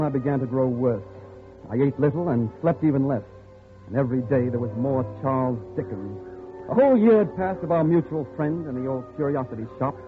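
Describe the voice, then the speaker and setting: An actor playing a weary, desperate captive in an old-time radio drama, earnest and emphatic in both dialogue and narration. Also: male voice, fairly high pitch, natural voice. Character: desperate male captive of a jungle recluse, weary, pleading. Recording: tinny, band-limited vintage radio recording.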